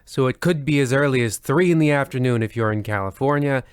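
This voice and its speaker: A man's voice: mid-range voice, fast talker, clean tone, dry recording, natural voice. A man playing the host of an old-time radio mystery show, narrating in a formal, wordy, mock-solemn way. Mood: genial.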